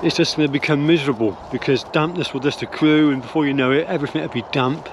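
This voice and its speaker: An older man rambles thoughtfully while walking outdoors, stopping and restarting his sentences. His voice sits a little high for a man. Clear outdoor noise from wind and distant traffic runs behind him. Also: fast. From England. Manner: musing, hesitant, many restarts and fillers. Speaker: vlogger. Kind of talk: rambling walk-and-talk opinion vlog. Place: woodland path outdoors while walking dogs. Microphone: phone or camera held close at arm's length.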